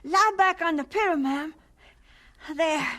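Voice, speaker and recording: A woman's voice: very high voice, slow pace, bright, forceful tone, heavily acted. A woman playing a polite, caring orphan schoolboy in a radio drama, earnest and folksy. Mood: busy, reassuring.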